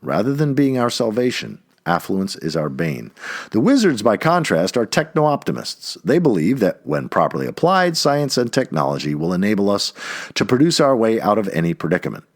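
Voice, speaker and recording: A man reading an essay aloud in an emphatic way, stressing key words, with a husky voice. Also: on the low side, sing-song, normal speed. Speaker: reader of an essay. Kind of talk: read-aloud essay narration.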